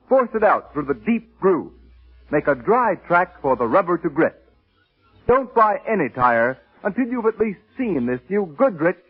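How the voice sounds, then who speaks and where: A man's voice: high-pitched, slightly rough. A man announcing in the emphatic, declamatory style of a 1930s radio advertisement. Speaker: announcer reading a sponsor message. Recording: tinny old radio recording, music behind.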